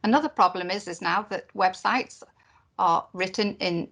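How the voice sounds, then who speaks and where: A woman with a mid-range voice speaks for a deaf presenter at a conference, turning sign language into spoken English. She works phrase by phrase, with pauses and fillers between the chunks. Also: medium pitch, fairly bright tone. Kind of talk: live voiced interpretation of a conference talk.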